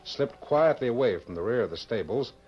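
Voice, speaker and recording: A man's voice: mid-range voice, expressive, brisk, darkish tone, breathy edge. A man performing as the formal storyteller of an old-time radio western, in scripted dramatic narration. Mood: dramatic, measured. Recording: muffled vintage radio recording, music behind.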